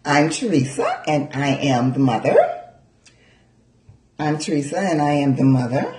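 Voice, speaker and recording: A woman's voice: sits low, wildly swooping pitch, a little slow, mellow, a little hiss or hum. A woman in her late fifties co-hosting a podcast with casual family banter, recorded with a roomy echo. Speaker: podcast co-host. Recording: roomy, echoing sound.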